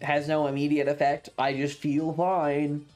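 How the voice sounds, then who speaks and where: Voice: mid-range voice, level tone, measured pace, darkish tone. A streamer telling a tabletop game story casually and animatedly, with frequent swearing. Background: background music.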